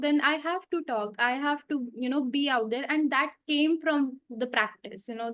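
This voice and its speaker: A woman hosting a podcast in a conversational interviewing style. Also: high-pitched. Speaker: podcast host.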